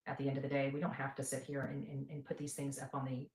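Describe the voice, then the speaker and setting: A low-pitched woman hosts a casual livestream in a roomy, echoing space. She rambles to her followers and repeats phrases for emphasis. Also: completely flat delivery, quick pace, a little mellow. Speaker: livestream host and advocate.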